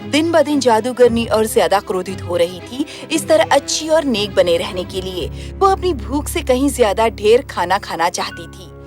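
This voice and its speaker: A woman narrator reading a scripted children's fairy tale in storytelling style. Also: fairly high pitch. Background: music.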